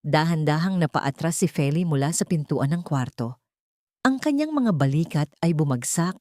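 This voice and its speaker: A woman's voice: sits low, a little mellow, no echo, studio quality. A woman with a Filipino accent narrating a scripted dramatic story.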